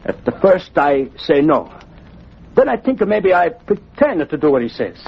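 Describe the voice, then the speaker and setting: Pleading Italian immigrant father, higher voice, broken English, vintage radio play with dull sound. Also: big pitch swings, measured pace, vocal fry, breathy edge, exaggerated voice. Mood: confiding, grave. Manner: broken English, refers to himself in third person. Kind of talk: 1940s radio acting. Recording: dull, noisy old radio recording.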